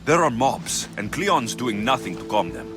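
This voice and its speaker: A man's voice: medium pitch, lively intonation, moderate pace, over loud music, lightly acted. Earnest Greek mercenary played by a man. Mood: reassuring. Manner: earnest, plain-spoken. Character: steady, protective male ancient Greek mercenary. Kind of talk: dramatic game cutscene acting.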